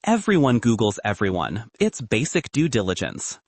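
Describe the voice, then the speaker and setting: A man's voice: on the low side, wildly swooping pitch, moderate pace, dry recording, exaggerated voice. A man voicing a tense, principled young student and boyfriend in a narrated story, clipped, dry and defensive. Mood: defensive, stubborn.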